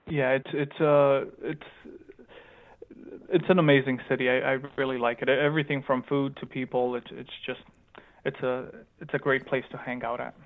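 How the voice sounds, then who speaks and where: A man's voice: mid-range voice, flat delivery, normal speed. Man with slight Romanian-Chicago accent on phone. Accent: slight Romanian mixed with Chicago. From born in Romania, raised in Chicago. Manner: hesitant, many ums and repeated starts. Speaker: startup founder guest. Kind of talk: casual interview answers. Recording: tinny phone-line sound.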